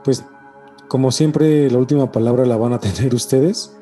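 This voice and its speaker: A man's voice: medium pitch, moderate pace, dark tone, faint music behind. A man with a Mexican accent co-hosting a podcast, talking casually in slang and often tagging questions onto his statements. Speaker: podcast co-host. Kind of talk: casual conspiracy podcast chat.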